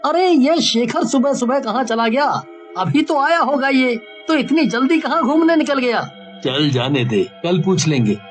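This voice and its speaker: A man voicing a worried, earnest young village man in an animated cartoon story. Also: extremely high pitch, unhurried, soft background music, heavily acted. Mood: curious.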